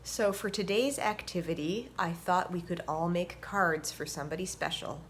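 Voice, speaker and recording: A woman hosting a story time for children, reading and explaining things simply with expressive, deliberate phrasing. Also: on the low side, slight background noise. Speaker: host and story reader, orchestra violinist.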